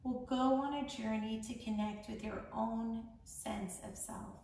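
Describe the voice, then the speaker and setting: A woman leading a guided meditation in a soothing, gentle, measured manner with long pauses. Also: a little high, steady tone. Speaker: meditation guide, founder of a yoga charity. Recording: echoey room, distant mic, faint noise.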